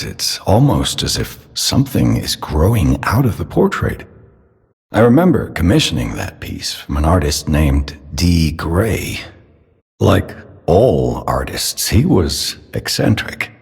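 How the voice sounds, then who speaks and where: Elderly puppet-maker father, video game: slow, deep, theatrical, paternal with an obsessive menace. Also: huge pitch swings, creaky, heavily acted. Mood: gentle, musing. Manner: theatrical, paternal, measured, solemn. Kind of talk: dramatic video game character acting. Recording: long cavernous reverb.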